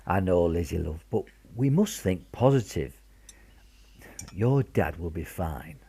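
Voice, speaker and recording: A man playing a genial, cheeky older grandfather with a British accent and a husky voice in a wartime radio play. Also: sits low, lively intonation, measured pace, dark tone, a little hiss or hum. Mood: tender. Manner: jovial, hesitant, coaxing.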